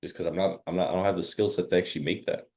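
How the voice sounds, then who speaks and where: A man's voice: sits low, fairly even intonation, rapid-fire, darkish tone. A young man on a sales call answering hesitantly, filling his speech with "like.". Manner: hesitant, heavy use of "like". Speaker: sales prospect. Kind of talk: casual phone conversation.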